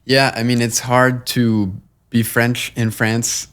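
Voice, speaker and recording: A young man from Long Island, guest on an interview show, talking in a measured, earnest way with fillers and restarts. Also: mid-range voice, flat delivery, a bit bright, smooth. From Long Island, New York. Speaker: guest, nonprofit co-founder. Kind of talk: conversational interview.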